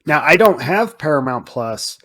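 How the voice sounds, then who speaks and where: Higher-pitched man musing in casual podcast talk. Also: big pitch swings, moderate pace, sharp tone. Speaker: podcast co-host.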